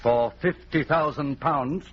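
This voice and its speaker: An actor playing a courteous, businesslike gentleman in a measured, formal 1940s radio drama style. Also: fairly high pitch. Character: businesslike, courteous Englishman in a detective story. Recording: dull, noisy old radio recording.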